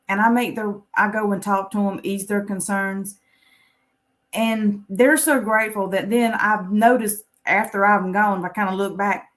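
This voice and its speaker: A woman speaking as a panel guest in a conversational, inspirational style, earnest and encouraging. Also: medium pitch.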